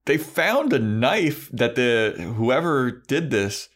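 A man's voice: medium pitch, sing-song, slow pace, a bit bright. A man co-hosting a podcast, casually recapping a TV episode in slangy, informal storytelling and paraphrased dialogue. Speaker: podcast co-host.

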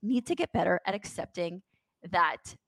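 A woman co-hosting a sports podcast in casual post-game chat, speaking in an animated, emphatic way. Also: fairly low pitch, normal speed, vocal fry, no room sound.

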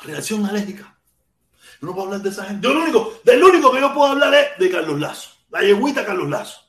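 Man, Cuban accent, high voice, slangy repetitive livestream chatter, casual swearing, roomy echoing sound. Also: big pitch swings, fast, fairly bright tone. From Cuba. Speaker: livestream host. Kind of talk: informal live political rant and chat with commenters. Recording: echoey untreated room.

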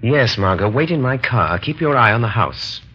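An actor playing a calm, resolute crime-fighter, an assured and wry man in an old-time radio drama. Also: mid-range voice, normal speed, husky. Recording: dull vintage radio recording.

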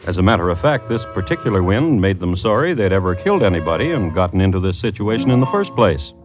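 An actor playing a dry, wry connoisseur of crime, narrating deliberately as host of an old-time radio show. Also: male voice, low voice. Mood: wry, ominous. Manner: droll, deadpan, deliberate storytelling. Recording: muffled vintage radio broadcast with music.

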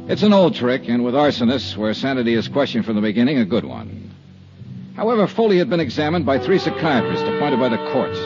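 A steady American investigator played by a male actor in an old radio drama. He is clipped and matter-of-fact, hard-boiled in manner. The recording is dull and dated, with faint music underneath. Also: medium pitch, natural voice. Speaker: narrator and lead actor. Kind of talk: 1950s radio detective drama acting.

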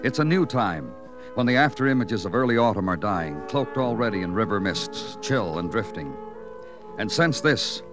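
A man's voice: on the low side, natural voice. An actor playing a weary police detective who narrates his case in a terse, hardboiled classic radio drama style. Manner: terse, clipped, poetic when narrating. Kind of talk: 1940s-50s hardboiled radio drama acting. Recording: old radio recording with music.

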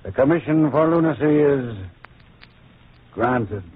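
An actor playing a self-important, corrupt judge with a formal, pompous manner in an old-time radio drama. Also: male voice, mid-range voice, slow pace, breathy edge. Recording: tinny, narrow old radio recording.

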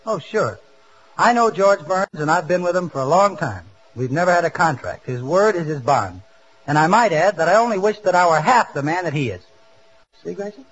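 Grudging loyal employee, husky voice, muffled old radio comedy. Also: male voice, fairly high pitch, lively intonation, normal speed, fairly bright tone. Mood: dutiful. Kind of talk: 1940s radio comedy acting. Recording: muffled 1940s radio broadcast.

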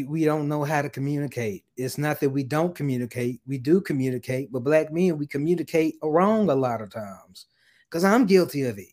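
An earnest man speaking as a guest on a casual podcast, slowing down to stress his points. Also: fairly high pitch, darkish tone.

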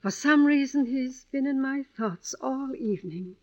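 Radio play widow: elderly, gentle, hesitant, slow delivery, muffled period sound. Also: female voice, high-pitched. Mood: wistful. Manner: gentle, hesitant, fond endearments. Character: kindly, wistful elderly widow, frail and motherly. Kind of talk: old-time radio drama acting. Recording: dull vintage radio recording.

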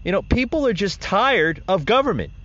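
Animated podcast host addressing folks. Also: male voice, high voice, moderate pace. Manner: emphatic, repeatedly addresses listeners as folks. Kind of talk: opinion podcast commentary. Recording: dull lo-fi sound with faint noise.

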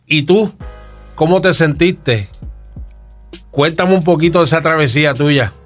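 Man, radio host, somewhat high voice, faint music behind. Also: a little slow. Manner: friendly, coaxing questions.

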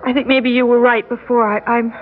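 A woman's voice: on the high side, flat delivery, brisk, background noise, lightly acted. Woman acting a flustered young woman, tinny radio recording. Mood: distracted, uneasy. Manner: hesitant, stammering, exasperated. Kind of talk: classic radio drama acting.

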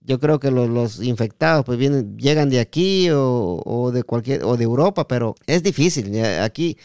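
A man's voice: medium pitch, expressive, moderate pace, a little mellow, clear, resonant tone, dry recording. A Guatemalan man hosts a conversational interview in a clean, resonant voice. He feels his way through questions with repeated words. The sound is close and dry, with little room around it. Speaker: podcast host interviewing a guest. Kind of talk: casual interview.

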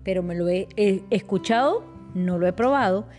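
A woman's voice: medium pitch, lively intonation, normal speed, darkish tone, faint music behind. A woman in a casual chat, chiming in with wry remarks.

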